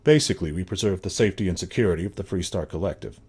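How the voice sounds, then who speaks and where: An actor playing a steady, dutiful space lawman in a video game, plainspoken and matter-of-fact, with dry humor and a husky voice. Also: sits low, rapid-fire, exaggerated voice. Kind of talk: video game dialogue acting.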